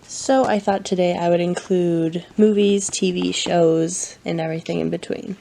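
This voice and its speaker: Young adult woman, vlogger with a cold; gravelly, chatty, relaxed show-and-tell. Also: on the low side, moderate pace, slight background noise. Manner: chatty, with frequent ums and self-corrections. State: has a cold. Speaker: collector showing her anime collection. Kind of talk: casual show-and-tell vlog.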